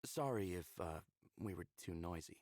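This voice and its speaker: A man's voice: sits low, animated, moderate pace, darkish tone, dry recording, clean studio sound. A man playing an easygoing, easily flustered young lover in a visual novel, casual and sheepish. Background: game music.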